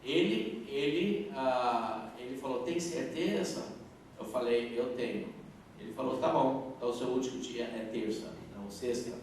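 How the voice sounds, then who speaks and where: A middle-aged man, a pastor, answers questions at a live youth service, earnest and halting, restarting his sentences. His voice sits at an ordinary pitch for a man. It comes from far off in a big echoey church hall, with faint noise behind. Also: a little mellow.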